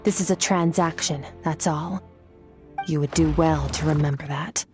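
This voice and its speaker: A cool, detached woman mentor, voiced by an actress with a lowish voice, keeping every word curt and businesslike. She explains things calmly and without warmth, over faint game music. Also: moderate pace, close to an everyday voice. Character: aloof, cool-headed woman mentor from the Abyss. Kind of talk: video game voice acting.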